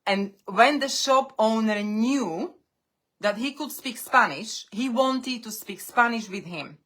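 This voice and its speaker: A woman coaching English learners on a live stream. English is her second language, and she speaks deliberately in short phrases with pauses. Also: on the high side, fairly bright tone. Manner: non-native English speaker.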